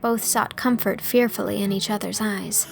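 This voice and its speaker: A woman's voice: mid-range voice, fairly even intonation, moderate pace, vocal fry, soft background music. A woman narrating an audiobook in a scripted storytelling style.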